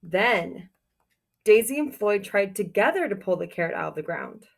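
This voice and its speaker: A woman reading a picture book aloud to young children like a teacher, expressive and encouraging, pausing to put questions to her listeners. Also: medium pitch, gravelly, slight background noise. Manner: expressive, encouraging, exclaims softly over pictures.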